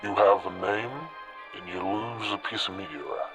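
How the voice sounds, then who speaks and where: An ancient, commanding spirit of smoke, acted by a man, speaks low and formally with ominous patience. Faint music runs beneath this fantasy role-play performance as it weighs out its bargains. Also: fairly even intonation, normal speed, close to an everyday voice. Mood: solemn, businesslike. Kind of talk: dramatic role-play acting.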